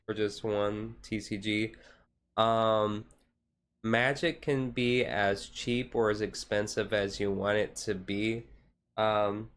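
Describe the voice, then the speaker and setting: A male streamer talks in a fairly low voice at a very unhurried pace. His delivery stays flat and rambling, with a hesitant, laid-back feel, like someone chatting while his hands are busy. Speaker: live streamer. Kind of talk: casual chat while doing a card-game activity.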